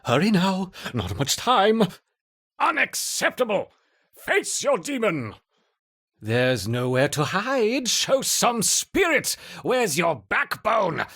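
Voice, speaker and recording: An unhinged scientist for a video game. His slightly husky voice leaps wildly in pitch. He mutters fondly to himself, then erupts in theatrical zeal. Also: huge pitch swings, takes it slowly, fairly bright tone, exaggerated voice. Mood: frantic, urging. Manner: theatrical, muttering to himself, swinging from purring to shrieking. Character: unhinged, gleeful male mad scientist doting on his specimens. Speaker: narrator and main character of a strategy game. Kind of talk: dramatic video game narration.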